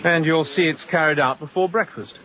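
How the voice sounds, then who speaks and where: A man plays a young sea captain in a radio drama, with a lightly raised pitch. He speaks in clipped, guarded phrases and gives orders curtly. The sound is somewhat lo-fi. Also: fairly quick, background noise, lightly acted. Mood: formal, commanding. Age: young adult.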